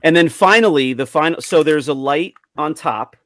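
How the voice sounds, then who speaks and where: A man's voice: a little high, moderate pace, a bit bright, dry recording. A man in his thirties showing collectibles in casual chat on a video call, speaking close into a studio mic. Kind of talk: casual show-and-tell chat. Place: small room of collectibles at home, video call. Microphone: close studio mic on arm, headphones.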